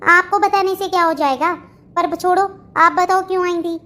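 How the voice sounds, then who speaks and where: A performer plays a young newlywed daughter-in-law in a family cartoon. Her voice is extremely high, and she talks curtly, with irritable, sarcastic bite. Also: fairly even intonation, normal speed, bright, forceful tone. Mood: sulky. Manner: curt, snappish, sarcastic. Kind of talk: Hindi family comedy cartoon dialogue.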